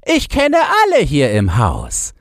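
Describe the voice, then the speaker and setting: A man voicing a boastful, nostalgic old chained dog in a shrill, yapping falsetto for a storybook. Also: wildly swooping pitch, takes it slowly, no echo, studio quality, heavily acted. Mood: knowing, gruffly boastful. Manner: knowing, exclamatory, barking.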